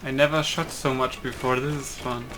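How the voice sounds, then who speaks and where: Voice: medium pitch, fairly even intonation, normal speed, over music, poor audio. A gamer thinking aloud and swearing in casual let's play commentary, with game sounds behind. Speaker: gaming video host, player. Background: video game sounds.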